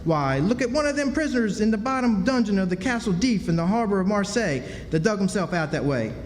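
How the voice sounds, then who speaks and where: A man's voice: sits high, lively intonation, fast, slight background noise. An actor playing a bossy, fanciful American boy in a live radio drama, speaking insistently in emphatic folksy dialect. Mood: stubborn. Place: historic club hall, live performance. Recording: echoey hall stage, faint music.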